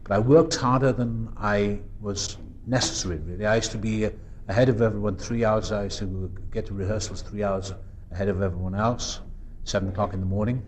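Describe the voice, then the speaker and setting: A Welsh man in his fifties speaks from a podium microphone in a meeting room. His voice sits low and he talks earnestly and reflectively, pausing and restarting as he works through his thoughts. A faint hiss lies under the recording. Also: steady tone. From South Wales. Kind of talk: personal recovery talk.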